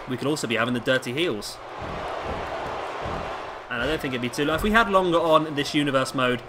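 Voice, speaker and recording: A hoarse man with a sore throat giving casual play-by-play commentary as host of a wrestling game video. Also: a little high. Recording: clearly noisy home recording.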